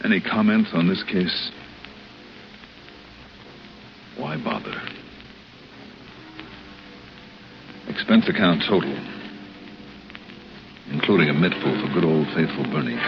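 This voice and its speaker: Hard-boiled radio detective, muffled old recording. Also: male voice, very deep voice, creaky, heavily acted. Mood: weary wry. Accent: American. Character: hard-boiled male insurance investigator, brisk, wry, urgent. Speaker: radio actor. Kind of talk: 1960s American radio detective drama acting.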